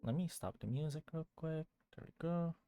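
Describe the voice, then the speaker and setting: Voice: mid-range voice, normal speed, dark tone, no echo, faint music behind. A game streamer chatting casually on a livestream, rambling playfully and repeating phrases as if to themselves. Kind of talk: casual livestream chat while gaming.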